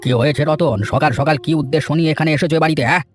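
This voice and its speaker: A man voicing an officious, stern gentleman's caretaker in a fairy tale cartoon, brusque and questioning. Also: on the high side, steady tone, rapid-fire, pitched below the performer's own voice, lightly acted.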